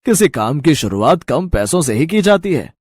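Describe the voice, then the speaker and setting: A man voicing an elderly, kindly father-in-law in a cartoon story, speaking slowly and reassuringly. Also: fairly high pitch, big pitch swings, a little mellow, breathy edge, no room sound, clean studio sound.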